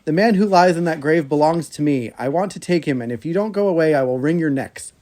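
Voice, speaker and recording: A man reads the part of the devil in a storybook, a scheming and menacing tempter. He speaks imperiously in formal old phrases, in a voice a little higher than usual. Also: fairly quick, a little mellow, lightly acted. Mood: threatening.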